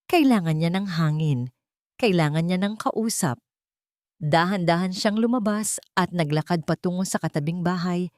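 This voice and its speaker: A woman narrates a dramatic audio story in a low-pitched voice. Her delivery is deliberate and emotive, and the sound is dry, with very little room around it. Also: a little mellow, no room sound.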